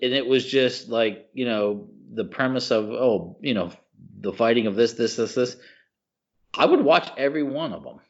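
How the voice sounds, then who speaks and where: A man's voice: medium pitch, expressive, moderate pace. Emphatic man chatting on a slightly dull recording. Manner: emphatic, repeats himself, rhetorical questions, slangy. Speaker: podcast co-host. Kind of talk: casual opinionated podcast chat.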